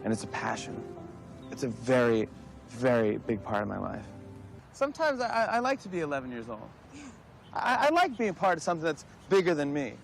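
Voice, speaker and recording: A man's voice: on the high side, huge pitch swings, a little fast, vocal fry, soft background music. A man talking reflectively, as in an interview.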